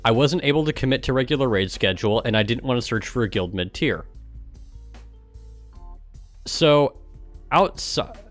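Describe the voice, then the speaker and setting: A man's voice: mid-range voice, lively intonation, moderate pace, pauses often, soft background music. A man streaming casually, reading aloud and adding sarcastic, exasperated commentary, with swearing. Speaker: streamer and gaming guild member.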